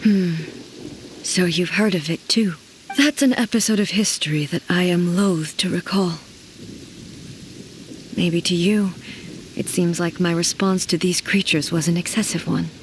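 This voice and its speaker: An actress playing a dignified, stern female ruler in an anime game, speaking formally with measured, solemn authority. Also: fairly low pitch, a little hiss or hum, close to an everyday voice. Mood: stern, resolute.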